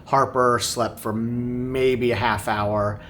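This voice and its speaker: A man's voice: level tone, slow pace, fairly bright tone. A man who vlogs family travel, giving an unscripted recap in a downbeat, regretful manner. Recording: clear background noise, low rumble.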